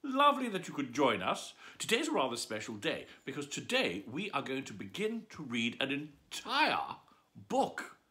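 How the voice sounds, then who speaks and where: A man reads a children's book aloud as a bedtime story, with playful theatrical flair. His voice is bright and forward. His pitch leaps high and low all through the telling. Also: huge pitch swings, moderate pace. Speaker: storyteller host.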